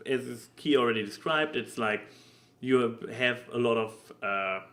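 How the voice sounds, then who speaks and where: A man hosting a casual podcast discussion, talking haltingly and often stammering or repeating words as he goes. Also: medium pitch, bright, forceful tone. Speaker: podcast host.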